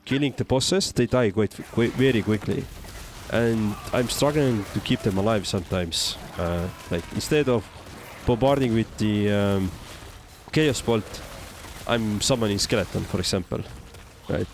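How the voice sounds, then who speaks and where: Low-voiced Estonian man streaming a game. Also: slight background noise. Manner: hesitant, halting, thinking aloud. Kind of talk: casual livestream gameplay commentary, reading and answering chat.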